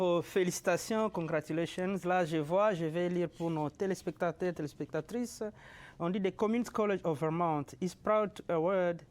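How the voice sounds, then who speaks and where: A male TV host with a voice a bit higher than most men's. He runs a local studio interview, reading out passages and asking questions in a halting way, often repeating his words. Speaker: TV show host. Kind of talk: TV interview hosting.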